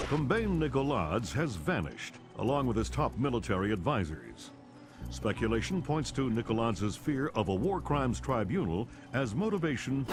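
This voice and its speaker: A man's voice: medium pitch, natural voice. A man playing a serious, urgent television news anchor, reading formally and measuredly in a video game cutscene. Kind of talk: scripted news bulletin in a game.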